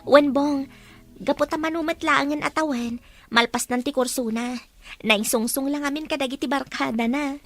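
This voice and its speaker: A woman with a Filipino accent acting a caring teenage high school girl in a radio drama. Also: fairly even intonation, moderate pace, a bit bright, faint music behind. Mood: friendly.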